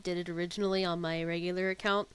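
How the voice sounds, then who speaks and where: A woman's voice: on the low side, flat delivery, fast, smooth. A woman gamer doing casual gameplay commentary, informal and offhand, half talking to herself. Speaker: gamer reacting to a story quest.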